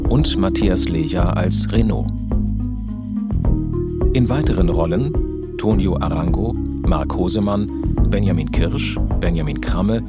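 A man reading the scripted closing credits of a radio drama as its announcer. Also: low voice. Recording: loud music under the voice.

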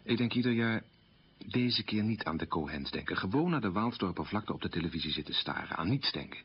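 A man's voice: lowish voice, lively intonation, fairly quick, husky. An actor playing a guilt-ridden middle-aged man who confesses earnestly and reflectively in a radio drama monologue. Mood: troubled. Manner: earnest, self-reproaching, reflective. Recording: dull, muffled old radio recording.

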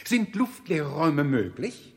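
A man playing an excitable, pompous learned scientist in a radio play, declaiming as if to a society meeting. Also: a little high, measured pace, over a noisy crowd. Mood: pompous, eager. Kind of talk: 1960s radio play acting. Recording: long reverberant hall.

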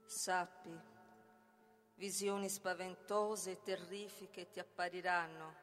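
A woman playing a grave, impersonal chorus in a theatrical ritual recitation, solemn, measured and monotone. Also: lowish voice. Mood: grave, warning. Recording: long reverb, music behind. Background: music.

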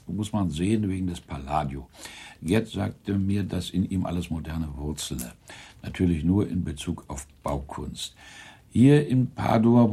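An older man playing a resigned father and husband in a German radio play, husky, deliberate and weary. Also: low voice, mellow, heavily acted.

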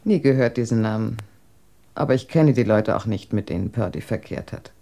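An actress in a German radio drama playing a proud, cold wife in a wheelchair, with a cutting, sardonic, articulate delivery. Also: female voice, a bass voice, fairly quick, mellow. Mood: dismissive.

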